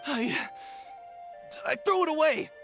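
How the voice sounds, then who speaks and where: An actor playing a brash, cowardly young bandit in a video game, whiny, cocky and theatrical. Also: male voice, extremely high pitch, expressive, slow pace, music in the background, exaggerated voice. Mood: panicked. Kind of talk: video game character acting.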